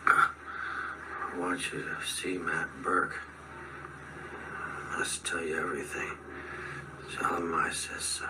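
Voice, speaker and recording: A man's voice: low-pitched, level tone, slow, pauses often, distant mic, very noisy. An actor playing an injured, sedated man in a radio drama, groggy, weak and urgent.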